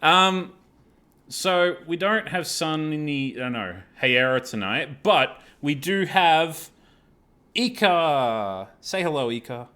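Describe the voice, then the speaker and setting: A man's voice: fairly high pitch, lively intonation, takes it slowly, bright, forceful tone, smooth. A man hosting a live gaming stream, chatting casually in a self-deprecating, apologetic way with plenty of swearing.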